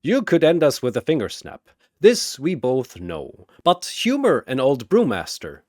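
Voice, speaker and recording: A man voicing a jovial, wise and sly old brewmaster warrior who talks in measured, ceremonious riddles, as in a fantasy story. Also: mid-range voice, huge pitch swings, a little mellow, no room sound, clean studio sound. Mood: sly, challenging.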